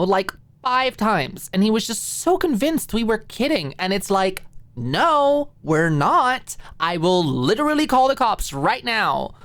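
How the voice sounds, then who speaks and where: A woman voicing a bubbly, breezy young woman in an audiobook, gushing casually to her housemate in chatty, excited phrases. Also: mid-range voice, no room sound, voice pushed up. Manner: casual, gushing, peppered with filler "like". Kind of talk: audiobook character dialogue.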